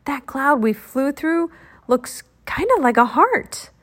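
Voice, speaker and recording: Woman playing a high, bubbly young genie girl. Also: expressive, slow, fairly bright tone, heavily acted. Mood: delighted. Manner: exclaiming, eager. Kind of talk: children's storybook character voice.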